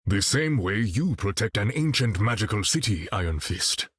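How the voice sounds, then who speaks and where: A proud African warrior king for a video game. His low voice has a husky edge and rises and falls expressively. His phrasing is formal and dignified. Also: animated, fairly bright tone, no room sound, clean studio sound. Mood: proud, solemn. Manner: formal, regal, measured phrasing. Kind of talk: video game character voice acting.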